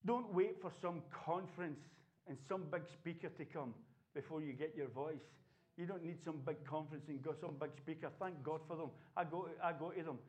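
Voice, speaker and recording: High-voiced impassioned male preacher. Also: normal speed. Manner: impassioned preaching, rising to shouts. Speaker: pastor. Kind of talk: sermon. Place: church.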